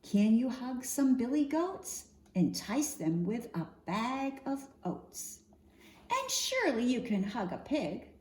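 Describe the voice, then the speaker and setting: A woman reading a picture book aloud for young children in an expressive, singsong storytime manner, often posing questions to her listeners. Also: on the high side, slow. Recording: roomy echo, faint background noise.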